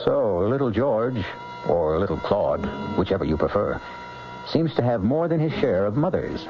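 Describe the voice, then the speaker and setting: A man's voice: on the low side, big pitch swings, normal speed. A man hosting a vintage radio mystery show with theatrical, wry and ominous scripted narration. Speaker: radio drama host. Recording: muffled vintage radio recording, music behind.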